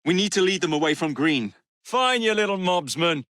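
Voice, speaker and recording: A young English rogue from a Victorian action game, cocky and quick-witted. His delivery is flippant and theatrical, with animated rises and falls in pitch. The sound is dry and studio-clean, with no room around it. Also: dry recording. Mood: bold, mocking. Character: cocky, roguish young male Victorian gang leader, witty and brash. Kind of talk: video game character voice lines.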